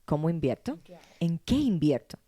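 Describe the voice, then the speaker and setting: A woman's voice: deep voice, very animated, normal speed, mellow, husky. A woman from Cuba with a Cuban Spanish accent, hosting a casual interview and affirming her guests with enthusiastic praise. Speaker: host.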